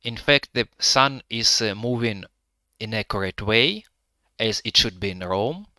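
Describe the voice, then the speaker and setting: A man with a Russian-Ukrainian accent guiding a live virtual tour, speaking halting English with many pauses to find his words. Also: fairly low pitch, animated, sharp tone, no room sound, studio quality. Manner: hesitant, pausing to find words; halting non-native English with frequent fillers.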